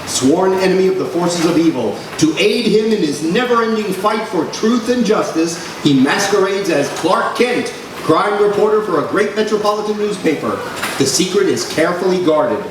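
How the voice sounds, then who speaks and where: A man's voice: sits high, expressive, normal speed. Man playing a theatrical old-time radio announcer, distant and echoing. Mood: grand, rousing. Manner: theatrical, suspenseful, declamatory. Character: dramatic male announcer-narrator of a superhero radio serial. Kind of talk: 1940s radio acting, scripted narration. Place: large room, live radio show recreation. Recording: roomy, noisy live stage recording from afar.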